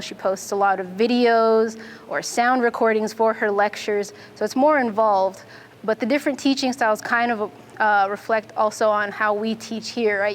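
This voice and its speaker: A young adult woman from Fiji, a Navy instructor, answering interview questions in a clear, methodical way. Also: a little high, slight background noise. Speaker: Navy nuclear instructor and student.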